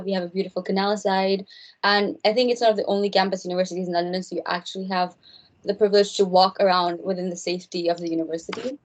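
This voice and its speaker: A young woman from India, a third-year student, presenting in a friendly, earnest way with some hesitant fillers. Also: medium pitch. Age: young adult. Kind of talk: informal presentation.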